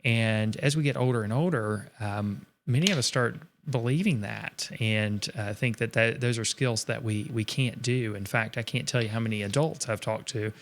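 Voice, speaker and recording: An earnest man teaching art on a live stream, with a middle-pitched voice. He talks in long, reflective runs, encouraging adult beginners and reading out viewer comments. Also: moderate pace, few pauses, a little hiss or hum.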